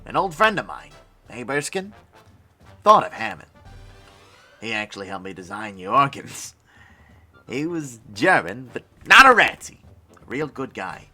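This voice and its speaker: An actor playing an excitable, fatherly inventor in an audio drama, chatty and exclamatory, swinging between excitement and tenderness. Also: mid-range voice, unhurried, long pauses, soft background music, heavily acted. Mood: fond, wistful. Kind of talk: cinematic audio drama acting.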